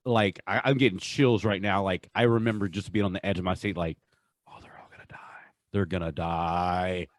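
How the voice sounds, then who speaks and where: Guy with a lowish voice, enthusiastic podcast chat, kinda halting, pretty dry sound. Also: fairly even intonation, dry recording. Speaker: podcast co-host. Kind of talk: casual chat, countdown commentary.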